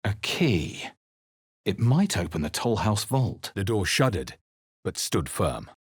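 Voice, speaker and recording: Brooding fantasy game hero, deep husky voice, wary and measured, very dry recording. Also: male voice, big pitch swings, no echo, exaggerated voice. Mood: wary, musing. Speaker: video game voice actor. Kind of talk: dramatic fantasy game narration and character lines.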